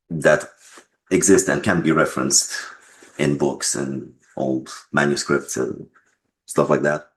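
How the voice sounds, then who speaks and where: A man answering interview questions as a game developer, explaining casually in measured short phrases with pauses between them. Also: rumbling low, a little hiss or hum, rough recording.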